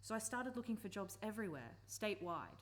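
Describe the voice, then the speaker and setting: A woman's voice: mid-range voice, big pitch swings, fairly quick, close to an everyday voice. A woman acting an earnest, persuasive campaigner and former country teacher in a live radio play. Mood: earnest, sorrowful.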